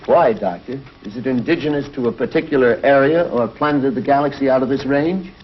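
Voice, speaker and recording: A man's voice: medium pitch, a little fast, lightly acted. A man playing a level-headed, decisive space patrol pilot in 1950s television adventure style, clipped and commanding. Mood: puzzled, serious. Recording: dull 1950s TV film soundtrack.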